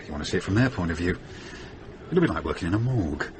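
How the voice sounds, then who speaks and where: An actor playing a worldly, wisecracking middle-aged van driver in a radio drama, blokey and matter-of-fact. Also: male voice, low voice, animated, fast. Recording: noisy radio drama with background ambience.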